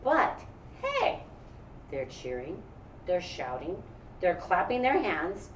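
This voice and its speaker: A woman's voice: fairly low pitch, wildly swooping pitch, slow pace, muffled recording, exaggerated voice. A woman voicing a kind, upbeat male dance partner from a storybook, consoling and encouraging in rhyme. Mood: reassuring, upbeat. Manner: encouraging, rhyming delivery. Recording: echoey room, distant mic, faint noise.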